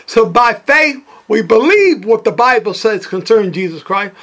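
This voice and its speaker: A man's voice: high voice, expressive, normal speed, a bit bright. A man preaching fervently on his podcast, repeating phrases as he builds his points. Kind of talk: sermon.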